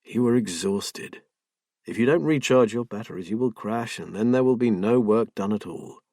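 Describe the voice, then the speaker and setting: A man's voice: moderate pace, a little mellow, no room sound, clean studio sound. A man voicing a patient husband, hurt but firm and coaxing toward his wife. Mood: hurt, soothing. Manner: firm, coaxing, low-key.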